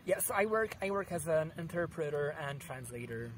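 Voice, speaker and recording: A man's voice: a little high, fairly quick, background noise. Polish man in his thirties, outdoors. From Poland. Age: 30s. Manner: animated, gesturing, frequent restarts. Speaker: interviewee, interpreter and translator. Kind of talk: casual interview answers. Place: outdoors in a sunny park.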